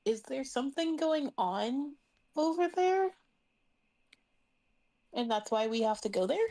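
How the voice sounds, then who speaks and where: A woman plays an eager novice adventurer in a tabletop fantasy game. The voice is very high, with an excitable, inquisitive manner. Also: a little slow. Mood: curious.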